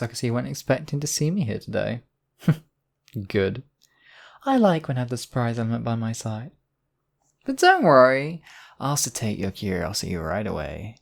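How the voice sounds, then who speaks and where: A man's voice: medium pitch, vocal fry. A man playing a smug, obsessive demon king in a theatrical audio roleplay, silky and possessive in his wooing. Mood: smug, menacing. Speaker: voice actor. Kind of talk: theatrical roleplay monologue, ASMR audio drama.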